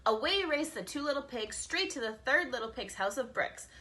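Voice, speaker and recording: A woman reading a picture book aloud to children as a teacher, in an expressive storytelling style. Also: sits high, normal speed.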